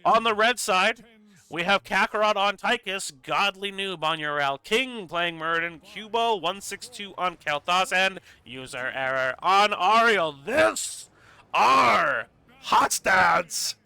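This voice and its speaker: A male caster calls a live esports match in fast play-by-play, his voice sitting a touch high and sounding very bright and keen. He is congested, with a stuffy nose, and his energy climbs into excited bursts when the action heats up. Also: gravelly, clean tone. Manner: energetic, rises to excited bursts during fights.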